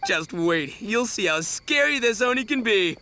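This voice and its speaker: A man's voice: very high-pitched, huge pitch swings, takes it slowly, bright, forceful tone, a little hiss or hum, heavily acted. An actor voicing a brash, goofy young male oni gang boss in a video game, boastful and exuberant. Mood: excited, boastful. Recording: background music.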